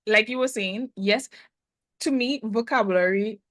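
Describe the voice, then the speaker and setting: A woman guest, a business consultant, speaking reflectively in a casual interview with frequent hesitant pauses. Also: fairly high pitch, a bit bright, no room sound, studio quality. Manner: thoughtful, hesitant, many "um" pauses.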